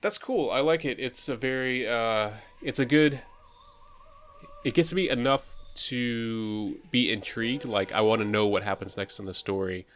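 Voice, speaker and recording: A man's voice: medium pitch, measured pace, fairly bright tone. A man giving casual game playthrough commentary, musing aloud with frequent questions and reading text off the screen. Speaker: host of a game playthrough channel. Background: music.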